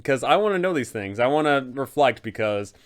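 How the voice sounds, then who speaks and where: A man with a medium-pitched voice presents advice for tabletop game masters. He is casual but enthusiastic, leaning on key words as he explains. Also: expressive, brisk, smooth.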